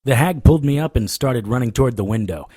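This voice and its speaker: A man's voice: mid-range voice, expressive, quick pace, warm tone, husky, dry recording. An actor playing a cocky, wisecracking paranormal investigator in an audio drama, sarcastic and flippant as he talks and narrates. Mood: dry storytelling. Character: wisecracking, cocky male supernatural investigator narrating his case. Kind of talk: audio drama, first-person noir-style narration and dialogue.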